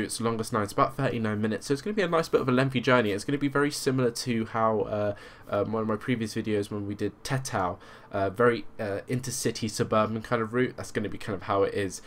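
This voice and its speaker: Rambling low-voiced man narrating gameplay. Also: brisk, slight background noise. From Croatian background. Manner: rambling, full of hedges like "kind of". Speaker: gaming video host. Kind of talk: casual gameplay commentary.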